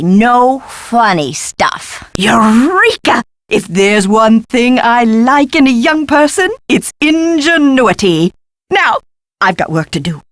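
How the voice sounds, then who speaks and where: Theatrical, fussy lady scholar, swooping pitch. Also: no echo. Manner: gushing, pompous, theatrical, precise diction. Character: eccentric, fussy, theatrical woman scholar of French history. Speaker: voice actor in an adventure game. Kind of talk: scripted video game dialogue.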